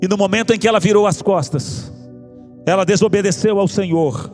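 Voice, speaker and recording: Male evangelical preacher, Brazilian accent. Extremely high register, fervent altar-call delivery with rhetorical repetition, musical backing. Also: fairly quick, a little mellow. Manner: impassioned, exhorting, rhetorical repetition. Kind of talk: evangelical sermon with altar appeal. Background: music under the preaching.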